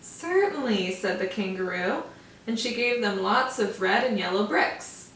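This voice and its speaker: A woman's voice: medium pitch, a little slow, a bit bright, a little hiss or hum. A woman teacher reading a picture book aloud to young children, stopping to explain words. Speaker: first grade teacher. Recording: echoey room, mic far off.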